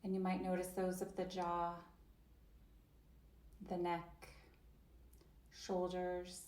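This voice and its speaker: Gentle, slow-spoken woman in an echoey room. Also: lowish voice, monotone. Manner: slow, gentle, invitational, with long pauses. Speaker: voice interpreter for a yoga teacher. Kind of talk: guided trauma-sensitive chair yoga.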